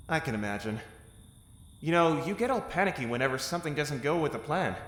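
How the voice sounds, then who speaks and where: A loyal, wry soldier in an audio drama. His fairly high voice swings widely and teases in an easy conversational way, ringing in a long, echoey reverb. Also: lively intonation, normal speed, a bit bright. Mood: concerned, gentle.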